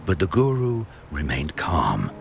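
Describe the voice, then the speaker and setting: An actor playing a theatrical storyteller in a video game, spinning legends with measured, mysterious drama. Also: male voice, very deep voice, wildly swooping pitch, creaky, noisy background, heavily acted. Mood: solemn suspense. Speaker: storyteller character.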